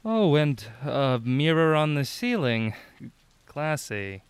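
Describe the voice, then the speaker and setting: A man's voice: on the high side, very animated, slow pace, smooth. An actor playing a playful, flirty man on a road trip, with a teasing, arch manner in an audio drama.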